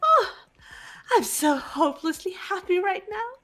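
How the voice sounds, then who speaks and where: Teenage girl villain, high-pitched, drawn-out delivery, theatrical, mocking, manic video game mastermind. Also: sing-song, a bit bright, soft background music, exaggerated voice. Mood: ecstatic, gloating. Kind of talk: fan voice acting of a video game script.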